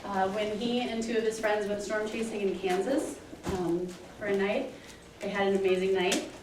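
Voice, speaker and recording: A woman's voice: mid-range voice, steady tone, normal speed. A woman with a big teacher voice, explaining the plan to a crowd of volunteers. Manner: loud teacher-style projecting voice. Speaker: mother organizing volunteers. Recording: echoey hall from far off with background noise.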